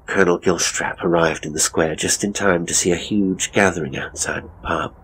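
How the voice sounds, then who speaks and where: A man playing a hapless, theatrical ghost tour guide in a horror audio drama, British, uneasy and hesitant, with dramatic pauses. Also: deep voice, fairly even intonation, fairly bright tone, husky, exaggerated voice, poor audio. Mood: grave, suspenseful. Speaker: horror storyteller and voice actor. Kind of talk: dramatic first-person audio drama monologue.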